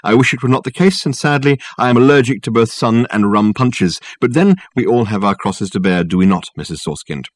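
A man's voice: fairly low pitch, fairly quick, few pauses, no room sound, natural voice. A man voicing a grandiloquent, unflappable male detective who talks soothingly and floridly. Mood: soothingly pompous. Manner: florid, soothing, mock-sorrowful.

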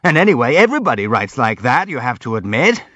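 A man's voice: medium pitch, sing-song, fast, fairly bright tone. A man voicing a pompous, eager boxer in an audiobook, wordy and grandly emphatic as he justifies himself. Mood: self-justifying. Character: pompous, eager boxer justifying his own scandalous article. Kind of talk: audiobook character acting.

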